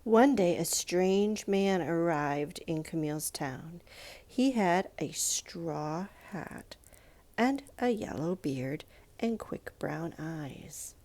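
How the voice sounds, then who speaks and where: A woman reading a children's picture book aloud in a measured, expressive storytelling manner, with pauses. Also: on the low side. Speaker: storybook reader.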